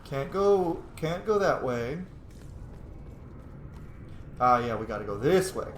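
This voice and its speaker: A man's voice: medium pitch, big pitch swings, slow pace. A man hosting a game playthrough with casual, playful, sweary commentary. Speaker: gaming video host. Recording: echoey room, faint noise.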